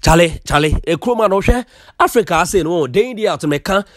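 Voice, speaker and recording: High-voiced Ghanaian sports newsman. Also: lively intonation, fairly bright tone, no echo, studio quality. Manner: fast mixing of Twi and English. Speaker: sports news presenter. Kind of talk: sports news voice-over.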